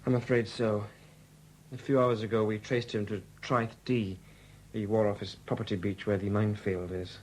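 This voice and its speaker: An actor playing a courteous, slightly awkward police sergeant, speaking hesitantly in a 1970s radio drama. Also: male voice, lowish voice, level tone, moderate pace, natural voice. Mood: gentle, sombre. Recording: faint hum on old radio recording.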